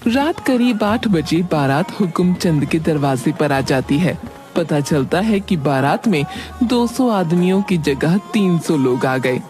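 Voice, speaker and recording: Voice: mid-range voice, animated, a little slow, a little mellow. A narrator reading a scripted cartoon story in a storytelling style. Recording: background music under voice.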